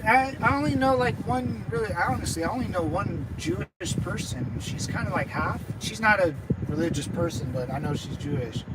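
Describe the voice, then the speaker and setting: Young man, high voice, casual stream chat, stammering, rambling, heavy traffic noise outside. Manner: rambling, stammers and restarts, laughs often. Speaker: livestreamer and veteran chatting with viewers. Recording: loud traffic noise behind.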